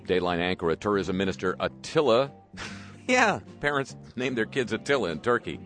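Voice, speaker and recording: Deep-voiced guy hosting a talk show, reading stories with sarcastic little jabs. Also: very animated, moderate pace, fairly bright tone, faint music behind. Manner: wry, sarcastic asides. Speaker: host. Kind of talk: radio talk commentary.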